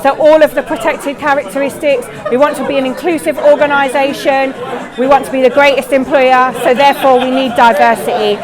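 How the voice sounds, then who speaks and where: A woman on the ambulance staff answers an interview question. Her voice is high. Music and crowd chatter are clear behind her. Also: fairly even intonation, a little fast. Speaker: ambulance service staff member. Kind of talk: informal on-camera interview answer. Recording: music and crowd in large room. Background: music and chatter at indoor event.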